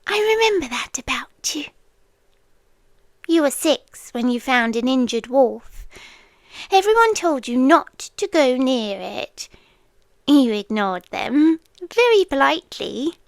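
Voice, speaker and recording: Ancient protective female creature played by a woman, British, high-pitched and hushed, eerie yet tender, very slow intimate ASMR delivery. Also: sing-song, no room sound, exaggerated voice. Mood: fond, wistful. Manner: hushed, often whispering, with lingering pauses.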